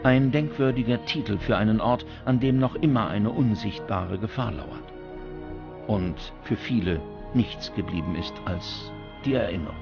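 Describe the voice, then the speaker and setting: A man's voice: on the low side, normal speed, creaky, highs cut off. A man reading scripted documentary narration as the narrator. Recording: music bed under the voice. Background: prominent background music.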